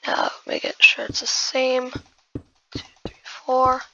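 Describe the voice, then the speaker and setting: A hoarse woman who has just woken up, giving casual gameplay commentary while building and often muttering in half-whispers to herself. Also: sits high, completely flat delivery, slow pace, pushed and bright, highs cut off. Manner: muttering half-whispered asides to self, addressing viewers as guys. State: hoarse, losing voice after just waking up. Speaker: gaming video creator.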